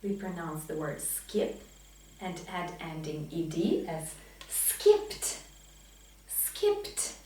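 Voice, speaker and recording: A woman in her thirties giving a pronunciation lesson as a teacher, enunciating example words deliberately and emphatically. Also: fairly low pitch, huge pitch swings, mellow, a little hiss or hum. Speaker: English teacher. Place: small indoor classroom with whiteboard. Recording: very echoey room, distant microphone.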